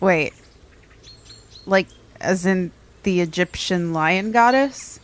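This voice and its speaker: A woman's voice: lowish voice, takes it slowly. A woman playing a blunt, wary young friend with a wry, skeptical way of talking in an audio drama. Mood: concerned. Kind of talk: acted audio drama dialogue.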